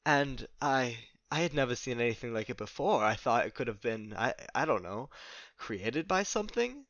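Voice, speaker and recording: A man's voice: medium pitch, very animated, fairly bright tone, dry recording, muffled recording. Man acting a flustered, stammering man, close and dry. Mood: bewildered, halting. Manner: halting, stammering, formal wording. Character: flustered, confused, nature-loving man recounting a strange ordeal. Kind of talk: audio drama acting.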